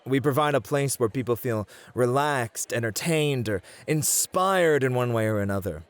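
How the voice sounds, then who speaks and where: A man's voice: medium pitch, lively intonation, normal speed, close to an everyday voice. A man playing a suave, competitive club host who flirts and teases theatrically in an ASMR roleplay. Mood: fond, relaxed. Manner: smooth-talking, teasing, theatrical.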